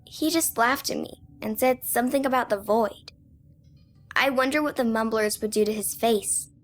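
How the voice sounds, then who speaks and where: A woman's voice: a little high, moderate pace, natural voice. A woman voicing a defiant captive child in a video game, telling a story plainly and bitterly. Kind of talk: video game narrative monologue.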